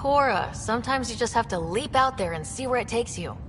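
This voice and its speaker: A woman's voice: on the high side, expressive, a little fast, close to an everyday voice. A woman playing an upbeat, hopeful young explorer who leads a space crew in a video game. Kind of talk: video game cutscene acting. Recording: music behind the voice.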